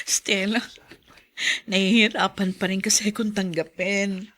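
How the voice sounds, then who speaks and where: A woman's voice: medium pitch, steady tone, slow pace, fairly bright tone. A woman acting a sorrowful, plaintive abandoned wife and mother in a Filipino radio drama. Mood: subdued sorrow. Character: heartbroken abandoned wife and mother, clinging to love.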